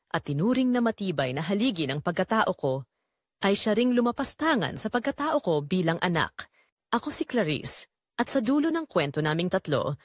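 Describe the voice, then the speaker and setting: A woman with a Filipino accent playing a betrayed, resolute daughter, narrating her story in a solemn, deliberate, emotional way. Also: mid-range voice, dry recording, studio quality. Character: Clarice, betrayed daughter and wife, wounded, resolute. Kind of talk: first-person dramatic scripted narration.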